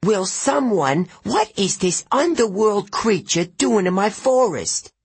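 A man's voice: high voice, very animated, takes it slowly, breathy edge, no room sound, pitched above the performer's own voice, full character voice. A man voicing a fussy, cowardly, very old and fat male satyr, whiny and indignant. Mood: panicky.

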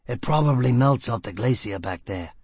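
A man voicing a gruff, blunt, stubborn escaped prisoner in a storybook reading, with terse, grunted lines. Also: medium pitch, animated, normal speed, darkish tone, no room sound.

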